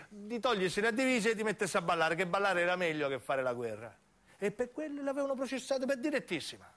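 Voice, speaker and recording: A man's voice: high-pitched, fairly bright tone. A man with a southern Italian accent narrating a first-person story as a stage actor, in lyrical, repeating phrases.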